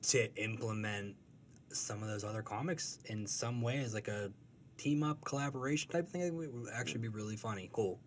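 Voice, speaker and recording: A man with a fairly low voice speaks as a guest in an informal interview. He is hesitant and thoughtful, feeling his way through answers. There is slight background noise. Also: fairly quick. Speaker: comic strip artist, interview guest. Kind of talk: casual interview chat.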